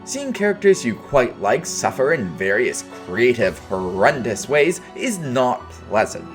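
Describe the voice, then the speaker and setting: A man's voice: mid-range voice, big pitch swings, measured pace, soft background music. A man delivering a scripted video review in casual, opinionated phrasing with dry understatement.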